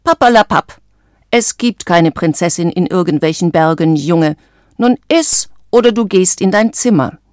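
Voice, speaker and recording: A woman's voice: fairly low pitch, animated, unhurried, a little mellow, no room sound, clean studio sound. Woman acting a brusque, scolding father frog. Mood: annoyed, scolding. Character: stern, easily annoyed adult father frog.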